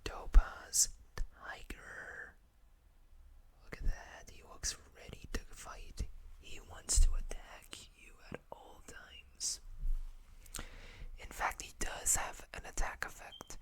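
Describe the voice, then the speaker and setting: An ASMR host whispering softly and without hurry, reading out card text and adding casual asides. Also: slow.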